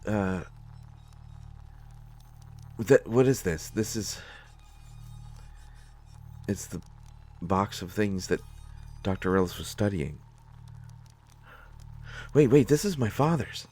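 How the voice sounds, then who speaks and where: A man playing a nervous, awkward, well-meaning character in a sci-fi audio drama, speaking haltingly with many stammers. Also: lowish voice, expressive, darkish tone, soft background music. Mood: startled, excited. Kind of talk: solo scripted audio drama, conversational acting.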